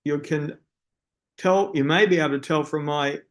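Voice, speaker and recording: A man's voice: fairly high pitch, normal speed. A man with an Australian accent hosting an online symposium over a video call, friendly, with frequent fillers and restarts. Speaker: webinar host. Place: speaking from a room in a city at night.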